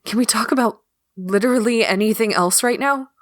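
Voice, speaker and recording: A woman's voice: fairly high pitch, a little fast, no room sound, lightly acted. A woman playing an irritable alien shapeshifter prisoner in a sci-fi audio drama, dry and curt. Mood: irritated.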